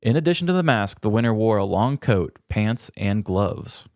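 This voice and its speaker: A man's voice: on the low side, lively intonation, moderate pace, darkish tone, dry recording, studio quality. A man co-hosting a podcast in a casual, chatty style. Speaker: podcast co-host. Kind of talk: casual chat with trivia.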